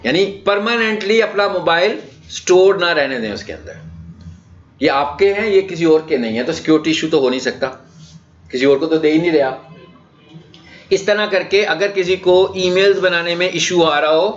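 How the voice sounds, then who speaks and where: A man's voice: fairly high pitch, lively intonation, normal speed. A man with a Pakistani accent giving an informal, conversational lecture as a trainer, explaining things and checking in with rhetorical questions. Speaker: digital marketing trainer. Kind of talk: informal lecture in an orientation session. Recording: dull, lo-fi session audio.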